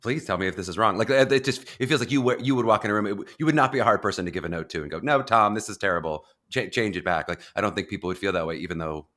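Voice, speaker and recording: A man's voice: lowish voice, rapid-fire, hardly any pauses, bright, forceful tone. A man hosting a podcast interview, asking long, rambling, eager questions full of fillers. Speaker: podcast host. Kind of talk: casual interview.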